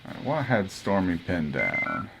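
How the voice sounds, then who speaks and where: A man's voice: low voice, wildly swooping pitch, unhurried, vocal fry, heavy background noise. A man giving offhand, muttered commentary while playing a video game. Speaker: gamer playing through. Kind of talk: casual gameplay commentary. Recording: loud game music behind. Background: loud game music.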